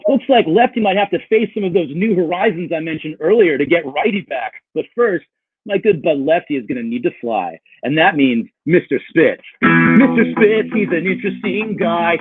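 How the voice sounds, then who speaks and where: A goofy surfer dude played by a man narrates a puppet show in mellow slacker talk. His voice is high and husky, on tinny phone-like audio with a little guitar behind. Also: lively intonation, normal speed. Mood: excited.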